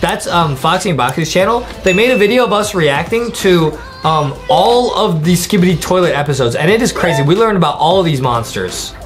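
A young American man giving excitable, playful, slangy reaction commentary as a gaming video host, often repeating his phrases. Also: on the high side, brisk, hardly any pauses. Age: young adult. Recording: music bed behind the voice.

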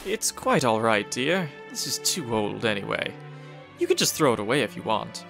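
A suave gentleman hunter, acted by a man, with a creaky, gravelly edge and swooping intonation. He is genteel and theatrical, courteous with a calm menace underneath, in the manner of a dramatic comic dub. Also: medium pitch, moderate pace, music in the background. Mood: fond, wry. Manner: genteel, theatrical, old-fashioned endearments.

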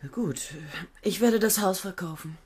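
A woman's voice: mid-range voice, expressive, a little slow, a little mellow, husky. An actress playing a bitter, defensive young woman who has inherited land, speaking in clipped, resentful replies in a radio play. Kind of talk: 1970s radio play acting.

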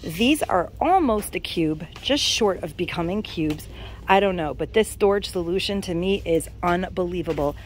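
A woman's voice: lowish voice, expressive, normal speed, a little hiss or hum. A woman vlogging as she walks through a discount store, filming on a handheld phone and gushing enthusiastically to her viewers. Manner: gushing, enthusiastic, addresses viewers as you guys. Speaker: shopping vlogger. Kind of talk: casual shop-with-me chat. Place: inside a large discount store. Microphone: handheld phone camera.

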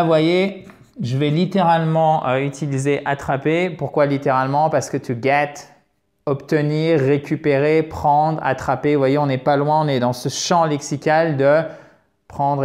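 Man, 30s, energetic language teacher, lapel mic, step-by-step explanations. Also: a little high. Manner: lively, explains step by step, repeats English phrases slowly. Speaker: English teacher. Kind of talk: whiteboard English lesson. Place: office room at a whiteboard.

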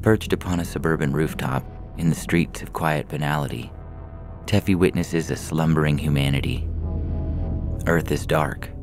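A man's voice: very deep voice, fairly even intonation, moderate pace, over music. A man reading a science fiction story aloud as a scripted narration.

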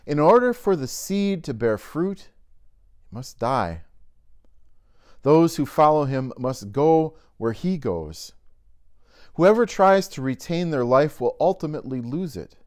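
A middle-aged man, a pastor, reading scripture and a devotional slowly and carefully, indoors by a fireplace. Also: a little high, sing-song, a little mellow. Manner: slow, measured reading aloud from a binder. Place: indoors in a home-style room by a fireplace.

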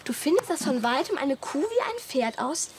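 High-voiced, cheeky daughter. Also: female voice, very animated, moderate pace, background noise. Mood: cheeky, probing. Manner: insistent, needling. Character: cheeky, pedantic, persistently questioning daughter Nicole. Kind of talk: German radio play acting.

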